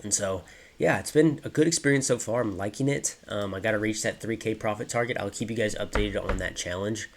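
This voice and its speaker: A man's voice: lowish voice, brisk, a little hiss or hum. Nasal, congested young man vlogging. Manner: relaxed, many ums and you-knows. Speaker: trading vlogger. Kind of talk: casual screen-share walkthrough.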